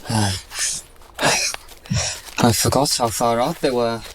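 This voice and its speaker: A man's voice: medium pitch, takes it slowly, a little hiss or hum, close to an everyday voice. A man as an earnest young warrior prince. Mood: uneasy. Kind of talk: fantasy audio drama acting.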